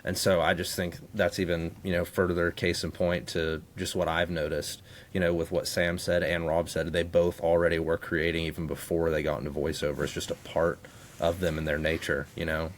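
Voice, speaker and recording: A man's voice: sits low, little pitch movement, normal speed. A man co-hosting in casual podcast chat, with an earnest, reflective way of talking. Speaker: guest co-host, voice actor. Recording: faint background noise.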